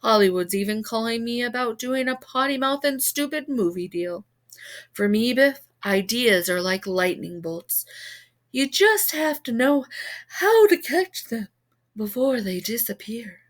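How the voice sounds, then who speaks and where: A woman's voice: fairly high pitch, lively intonation, measured pace. Woman playing a smug, boastful ex-dad. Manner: boastful, smirking. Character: pompous, smug ex-dad author bragging on TV.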